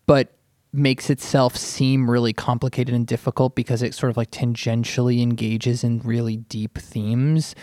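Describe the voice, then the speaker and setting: Male panelist, medium pitch, opinionated, emphatic, casual podcast talk, dry close sound. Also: darkish tone, no room sound. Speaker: podcast panelist, art critic.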